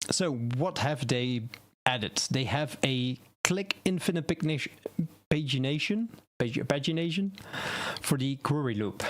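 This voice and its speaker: A man whose first language is Dutch hosts a relaxed live stream on website building. He thinks aloud, stumbles over words and restarts them, at an ordinary middle pitch. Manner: rambling, self-correcting, thinking aloud. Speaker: web design streamer. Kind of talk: casual tutorial live stream.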